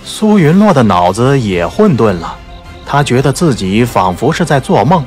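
A man's voice: mid-range voice, big pitch swings, moderate pace, a little mellow, soft background music. A man narrating a martial arts novel as a dramatic audiobook.

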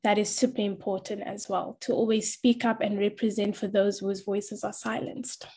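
Young woman, mellow, measured panelist. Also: medium pitch, level tone, slightly rough. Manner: measured, structured points. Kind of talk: panel discussion on a video call. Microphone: laptop webcam mic on a video call.

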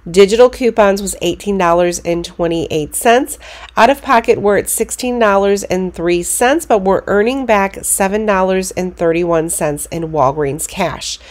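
Congested woman walking through coupon deals. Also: mid-range voice. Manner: explains steps in a brisk, practical way. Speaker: coupon deals channel host. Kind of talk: voice-over screen walkthrough of shopping deals.

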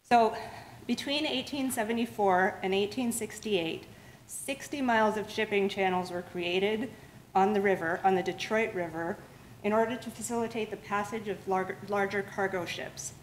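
A woman's voice: mid-range voice, moderate pace, a little hiss or hum, poor audio. Woman giving a slide lecture. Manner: steady explanatory pace with frequent restarts and fillers. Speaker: museum lecturer. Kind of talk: public science lecture with slides. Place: lecture room.

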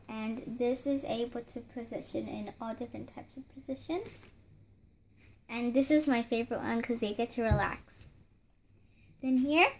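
A woman's voice: fairly high pitch, a little hiss or hum. Young girl chattily touring a doll house. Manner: chatty, rambling, many fillers and restarts. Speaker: vlogger showing a doll house. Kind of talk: casual show-and-tell narration. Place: home room beside a handmade doll house. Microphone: phone camera held close, hands in frame.

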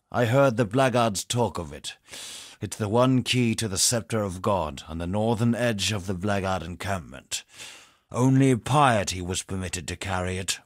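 A grave male poet from a dark fantasy game, low in pitch and measured in his warnings. The delivery is solemn and unhurried. It sits close and dry on a studio mic. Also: no room sound. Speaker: game character.

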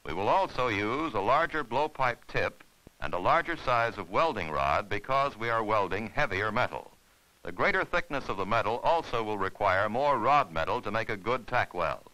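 A man's voice: fairly low pitch, sing-song, moderate pace, bright, forceful tone, low-quality recording. A man narrating an instructional film in a formal, scripted, step-by-step way.

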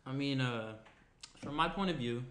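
A man's voice: medium pitch, normal speed, fairly bright tone, close to an everyday voice. A young man playing a laid-back, frank, teasing guy with casual, hesitant phrasing in a table read. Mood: earnest. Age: young adult. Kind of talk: naturalistic screenplay table read. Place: small indoor room at a table. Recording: roomy, faint background noise.

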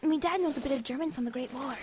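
A woman playing an excited young English boy in a wartime audio drama, hushed and urgent. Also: high voice, quick pace, slight background noise, lightly acted.

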